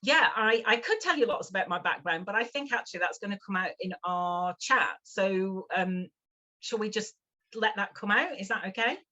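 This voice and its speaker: A woman's voice: mid-range voice, lively intonation, normal speed, pushed and bright, dull audio. Northern English woman in her fifties. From northwest England. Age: 50s. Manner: chatty, with many fillers and restarts. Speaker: guest. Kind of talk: casual interview chat.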